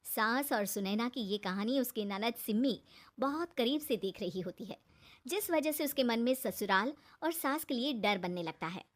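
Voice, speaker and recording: A woman with a high-pitched voice narrates a cartoon tale. She tells it as a scripted storyteller, line by line. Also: animated, normal speed.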